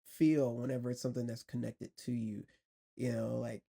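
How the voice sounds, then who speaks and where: A young man hosting a podcast, asking questions in a relaxed, friendly, slangy way. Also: mid-range voice, normal speed, gravelly. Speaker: podcast host. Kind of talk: casual interview chat.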